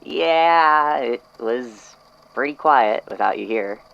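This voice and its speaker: Actor as a gravelly, hesitant coworker. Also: medium pitch, lively intonation, slow, lo-fi sound. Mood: friendly, tentative. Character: earnest, tentative coworker, gentle and loyal. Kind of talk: fan audio drama acting.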